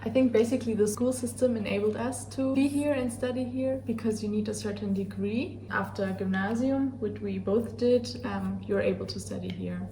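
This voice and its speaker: A woman's voice: on the high side, moderate pace, hardly any pauses, dark tone. A twenty-year-old woman from Germany with a German accent, answering interview questions casually as a student. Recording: roomy echo, faint background noise.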